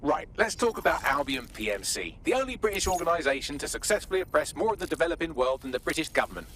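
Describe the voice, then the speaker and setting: A man playing a wry, sardonic intelligence briefer in a video game cutscene, articulate and sharp. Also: fairly high pitch, big pitch swings, fast, a little hiss or hum. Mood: dryly mocking.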